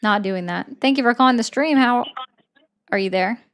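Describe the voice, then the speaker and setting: A woman's voice: on the high side, moderate pace, a bit bright, smooth. A woman hosting a livestream call-in show, debating callers with pointed, sarcastic, repeated questions. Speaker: livestream host taking callers.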